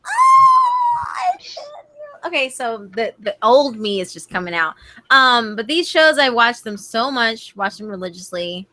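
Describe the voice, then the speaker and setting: A young woman hosting a casual group chat, gushing and excitable with quick exclamations. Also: on the high side, a little hiss or hum, poor audio. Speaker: host.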